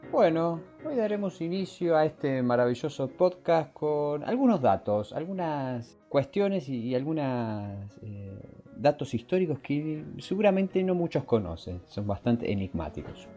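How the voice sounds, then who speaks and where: A man hosting a podcast, explaining things informally and often pausing to search for his words. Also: on the high side, sing-song, warm tone, muffled recording, soft background music. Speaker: podcast host. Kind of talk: scripted intro and informal explanatory talk.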